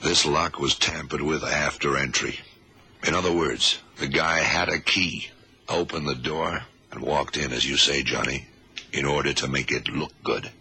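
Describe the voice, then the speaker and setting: A methodical FBI investigator in an old radio drama. His voice is rock-bottom low and gravelly, with a hard, sharp edge. He questions deliberately, murmuring as he thinks, over a slightly noisy recording. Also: steady tone, full character voice. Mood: methodical, probing. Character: shrewd, methodical male FBI investigator leading a case. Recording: 1970s radio drama, faint noise.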